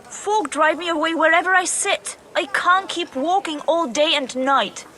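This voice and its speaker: A woman's voice: very high voice, measured pace, sharp tone, a faint crowd, exaggerated voice. A woman voicing a downtrodden, stubborn medieval beggar in a video game, with a plaintive manner. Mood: defiant, plaintive. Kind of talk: dramatic game cutscene dialogue.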